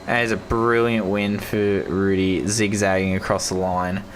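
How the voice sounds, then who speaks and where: Hoarse young Australian race commentator. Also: male voice, deep voice, level tone, a little slow, slight background noise. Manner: enthusiastic, repeats praise, casual slang. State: hoarse, losing his voice.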